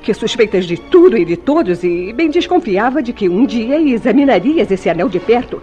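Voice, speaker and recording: A woman's voice: fairly high pitch, very animated, moderate pace, warm tone, lo-fi sound. A woman with a Brazilian accent playing a defensive wife in a radio soap opera, protesting and pleading theatrically. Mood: earnest, pleading. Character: defensive wife pleading against jealous accusations. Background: faint music behind the voice.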